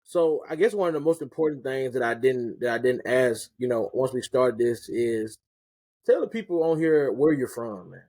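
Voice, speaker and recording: A man hosting a casual interview, relaxed and slangy, often restarting his questions. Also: mid-range voice, moderate pace, dry recording. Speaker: interview host and rapper.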